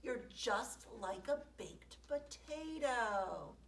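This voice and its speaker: Woman voicing a loving female pet owner, warm and doting, storybook. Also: a little high, animated, very slow, creaky, slight background noise, full character voice. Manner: fond and exclaiming. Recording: echoey room, voice from far off.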